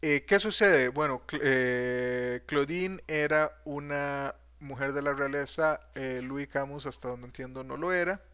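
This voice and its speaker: A man's voice: medium pitch, measured pace, hardly any pauses, a bit bright, smooth. A man teaching math history in a video lecture, hesitant, with frequent fillers and restarts.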